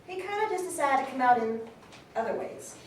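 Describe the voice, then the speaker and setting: Actress as animated high-voiced sister, roomy stage. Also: female voice, a little fast. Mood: reflective, fond. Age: 20s. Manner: animated, informal storytelling. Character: twenty-eight-year-old sister fondly recalling her brother. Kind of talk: conversational monologue in a staged reading. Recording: very echoey room, distant mic, noisy.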